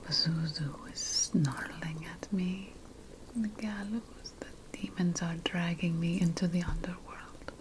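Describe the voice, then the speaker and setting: A woman playing an ancient, slyly ominous sorceress apothecary, whispering breathily in an ASMR fantasy roleplay, with deliberate dramatic pauses. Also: fairly low pitch, noisy background. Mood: dreamy, eerie.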